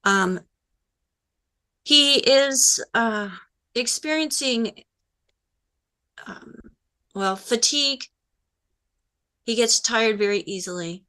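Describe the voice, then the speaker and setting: A woman's voice: a little high, slow, pauses often, sharp tone. A woman co-hosting a call-in health show, reading listener questions and speaking upbeat to the audience.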